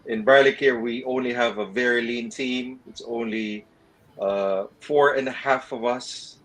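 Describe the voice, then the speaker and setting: A man's voice: medium pitch, fairly even intonation, measured pace, a bit bright, poor audio. A man, a storage franchisee on a video-call interview, speaking hesitantly with many fillers.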